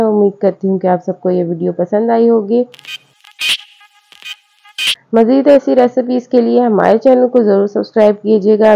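A woman's voice: medium pitch, gravelly, slight background noise. Friendly woman narrating a home recipe. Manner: friendly, repetitive home-cook explaining step by step. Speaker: cooking channel host. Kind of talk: voice-over recipe instructions. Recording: slightly dull, lo-fi sound.

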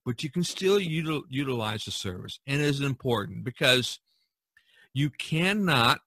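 Man, middle-aged or older, podcast host, bright tone, medium pitch, casual rambling monologue, emphatic repetition. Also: lively intonation, normal speed, husky, no echo, poor audio. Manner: rambling, emphatic, repeats phrases for stress.

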